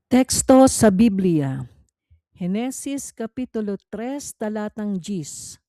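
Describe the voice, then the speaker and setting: A Filipino woman narrating a scripted read-along Bible quiz in a measured, deliberate way with pauses between phrases. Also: mid-range voice, animated, dark tone, no echo, studio quality.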